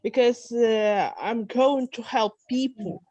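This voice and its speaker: A woman English teacher whose native language is Russian, introducing herself earnestly and pausing to find her words. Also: on the high side, darkish tone, no echo. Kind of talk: self-introduction in an online meeting.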